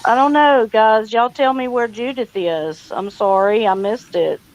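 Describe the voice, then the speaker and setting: A woman's voice: a little high, a little slow, dull audio. A woman hosting a casual livestream, chatty, folksy and welcoming. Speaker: livestream host.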